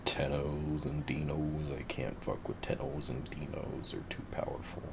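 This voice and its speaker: A man with a very deep voice giving laid-back, rambling, profane commentary over his game. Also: little pitch movement, a little slow, gravelly, heavy background noise. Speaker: gamer narrating his play. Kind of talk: casual gameplay commentary.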